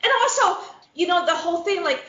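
A woman with a Midwestern accent co-hosts a casual podcast, laughing often and acting out voices. Her voice sits high and jumps all over. The sound is roomy and dull. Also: brisk. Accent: Midwestern American. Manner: animated, laughing, loud put-on quoted voices, swearing. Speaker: podcast co-host. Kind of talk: casual chat. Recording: echoey lo-fi podcast audio.

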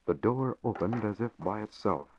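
A man with a very deep voice narrates a radio drama in a measured, dramatic way. He is heard through a muffled, dull old recording. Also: animated, slight background noise. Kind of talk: scripted narration.

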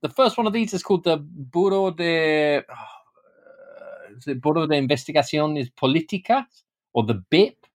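British man explaining, halting and informal. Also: a little high, expressive. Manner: hesitant, often repeating words mid-sentence. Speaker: guest author and historian. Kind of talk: informal explanatory interview talk.

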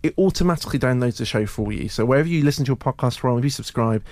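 Brisk, chatty man from Scotland. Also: mid-range voice, darkish tone, no room sound. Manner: brisk, chatty, plugging the show. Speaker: podcast co-host. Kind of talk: casual theatre chat.

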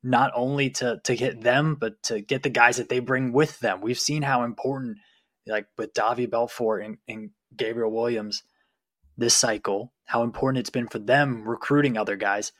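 Male co-host for casual sports analysis, mid-pitched, with a dry studio-like sound free of echo. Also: fairly even intonation, normal speed, a bit bright.

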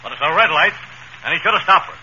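A man's voice: on the high side, lively intonation, quick pace, bright, forceful tone. An actor playing a plain-spoken, insistent motorcycle policeman in a vintage radio comedy. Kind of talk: 1930s radio comedy acting. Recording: dull, hissy old radio recording.

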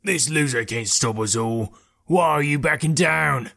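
A man acts out a male highwayman boss in a fantasy audiobook. He is domineering and menacing, with a bellowing, bullying delivery. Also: measured pace, vocal fry, fairly bright tone, full character voice.

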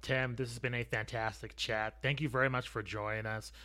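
A man with a bright, forward voice and an average pitch hosts a sports podcast. He asks his questions in a casual, conversational interviewing style. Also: flat delivery, a little fast.

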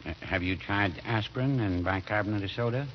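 An actor playing a mild, evasive middle-aged druggist in a 1940s radio comedy, speaking in a dry, hesitant, noncommittal way. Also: male voice, lowish voice, a little fast, lightly acted. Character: mild, evasive, unhurried small-town druggist. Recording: muffled vintage radio recording with hiss.

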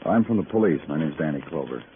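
An actor playing a terse, brooding police detective in an old radio crime drama, measured and moody in delivery. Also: male voice, extremely low pitch, animated, phone-quality sound, noisy background. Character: low-voiced, terse, brooding New York police detective. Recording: band-limited old radio recording with music.

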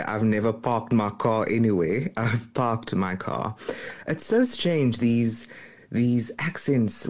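A man's voice: medium pitch, darkish tone. Amused South African man chatting. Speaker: radio colleague.

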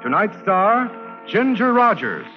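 Casting: male announcer, high animated voice, grand vintage radio opening over loud music. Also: takes it slowly, dull audio.

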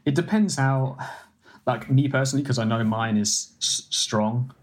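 A man's voice: medium pitch, normal speed. A young English man, a food editor and former baker, explaining things in a casual, hesitant way with many ums and restarts. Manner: hesitant, lots of ums and restarts, laughs easily. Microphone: video call.

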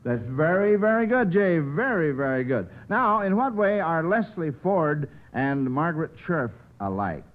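A man's voice: on the high side, sing-song, a little slow, few pauses. A man hosting a 1940s radio quiz show, who chuckles often and repeats his phrases. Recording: noisy, tinny old radio broadcast.

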